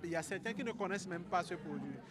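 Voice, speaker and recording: A man's voice: high-pitched, fast. A man explaining things in an interview as an organisation's representative. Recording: busy outdoor crowd noise.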